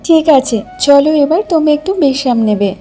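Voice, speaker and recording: A woman's voice: high-pitched, brisk, over music, close to an everyday voice. A woman playing a caring, anxious village wife in a cartoon story, speaking with soothing concern.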